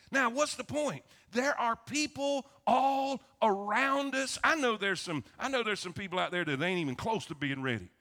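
Male preacher, Southern American, high-pitched and forceful, folksy sermon delivery with repeated phrases. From South Florida, United States. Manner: folksy, animated, repeats phrases, calls for amens. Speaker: pastor. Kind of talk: sermon, folksy storytelling to a congregation.

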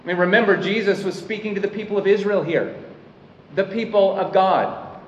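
Pastor delivering a sermon, earnest and deliberate; dull, roomy recording with faint ambience. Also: male voice, high-pitched, a little hiss or hum. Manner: earnest preaching, slows to stress key lines. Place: church hall. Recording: echoey hall, mic at a distance, dull sound.

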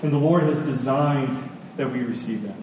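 A man preaching a sermon in an earnest, emphatic way, repeating phrases deliberately for weight. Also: medium pitch, a little mellow. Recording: echoey hall, distant, faint noise.

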